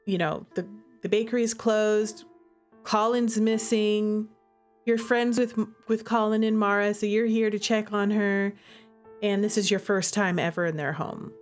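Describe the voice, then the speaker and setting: A woman's voice: medium pitch, fairly even intonation, a little slow. A woman streamer chatting casually and playfully through commentary on her own game, stressing her points with little tag questions. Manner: playful, giggly, emphatic; repeats "okay?" and "all right?" as tags. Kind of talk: casual livestream commentary and chat. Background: background music.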